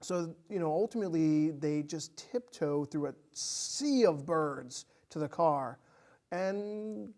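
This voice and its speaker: Man, podcast guest, slightly high voice, conversational, hesitant, searching for words. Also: slow pace, a little mellow. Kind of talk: casual film discussion.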